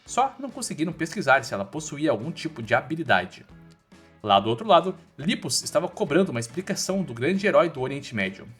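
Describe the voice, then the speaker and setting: A man's voice: a little high, sing-song, fast talker, slightly rough. A man with a Brazilian accent reads a scripted story recap in an informal, slangy storytelling way. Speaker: recap narrator. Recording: voice-over with background music. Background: music.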